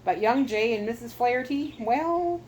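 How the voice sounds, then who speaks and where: A man's voice: very high-pitched, expressive, normal speed, slight background noise, voice pushed up. A woman voicing an anxious island missionary who warns his friends urgently. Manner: urgent, warning.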